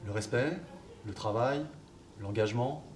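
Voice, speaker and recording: A man, a football coach, answering interview questions in a relaxed, hesitant way with many fillers. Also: on the low side, measured pace. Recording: distant mic, background noise.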